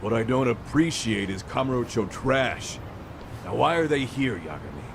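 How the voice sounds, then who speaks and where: An actor playing a brash, hot-headed gang boss in a video game dub, with slangy, swaggering tough talk. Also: male voice, medium pitch, animated, normal speed, vocal fry, background noise. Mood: bitter, angry. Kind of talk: English-dub video game cutscene acting. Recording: music behind the voice.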